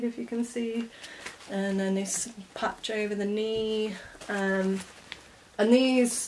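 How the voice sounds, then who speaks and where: A woman in her twenties sounding stuffed up and croaky from a cold, chatting casually through a vintage clothing haul with lots of ums and likes. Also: mid-range voice, slight background noise. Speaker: vintage fashion vlogger. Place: bedroom lined with clothing racks, indoors.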